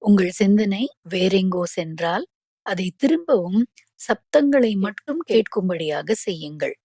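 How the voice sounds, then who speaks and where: A woman guiding a yoga nidra relaxation, giving calm, measured instructions with pauses between phrases. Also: medium pitch, no echo, clean studio sound. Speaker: yoga nidra meditation guide.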